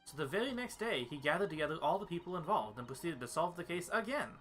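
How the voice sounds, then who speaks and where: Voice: medium pitch, big pitch swings, fast talker, a bit bright, faint music behind. A performer voicing a precocious, cheerful young girl in a fan dub of a video game, chatty and innocent. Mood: bright, animated. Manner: chatty, childlike, innocent phrasing. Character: precocious, cheerful young girl storyteller, proud of her father.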